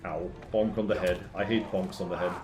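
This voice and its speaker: A man streaming a video game, talking casually and excitably, with a gravelly, hoarse voice from shouting. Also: deep voice, fairly quick, over music. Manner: chatty, excitable, reading lore aloud. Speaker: gaming streamer. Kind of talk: casual gameplay commentary. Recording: echoey room with faint noise.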